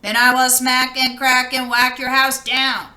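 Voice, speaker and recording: A woman's voice: high-pitched, flat delivery, unhurried, sharp tone, roomy sound, heavily acted. A woman voicing a menacing, taunting big bad robot that chants threats and bleeps in a children's storybook.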